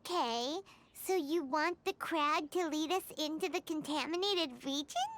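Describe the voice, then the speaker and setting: Tiny female fairy sidekick, very high squeaky voice, animated, game cutscene, loud background noise. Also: a little slow. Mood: curious. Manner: scolding, eager, exclamatory. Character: tiny chirpy female fairy companion, bold and excitable.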